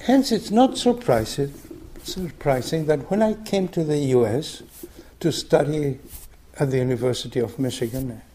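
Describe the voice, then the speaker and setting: A man's voice: medium pitch, lively intonation, moderate pace, warm tone. An older man from Argentina, giving a reflective meditation talk with frequent restarts. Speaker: meditation group teacher. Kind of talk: dharma talk.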